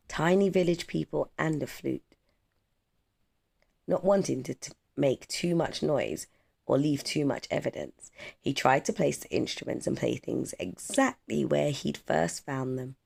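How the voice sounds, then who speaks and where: A storyteller reading a children's picture book aloud in measured, expressive phrases. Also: mid-range voice, creaky. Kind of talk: children's storybook read-aloud, scripted narration.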